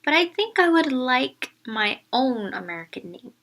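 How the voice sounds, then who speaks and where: A woman's voice: high voice, very animated, a little slow, fairly bright tone, heavily acted. A shy young Korean schoolgirl from a storybook, voiced by a woman. She is easily embarrassed and hesitant, stumbling a little, in a slightly raised voice. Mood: hesitant, nervous.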